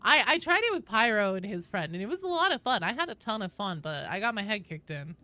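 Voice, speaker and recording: A woman's voice: mid-range voice, very animated, quick pace, bright, forceful tone. A woman chatting casually to viewers as a game livestreamer, playful and joking, with some swearing. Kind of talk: casual livestream chat while gaming.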